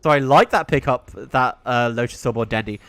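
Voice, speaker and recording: A man's voice: mid-range voice, fairly quick, fairly bright tone, no echo. A young British man giving solo play-by-play commentary on a live esports stream, chatting with his viewers between plays. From Britain. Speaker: esports caster.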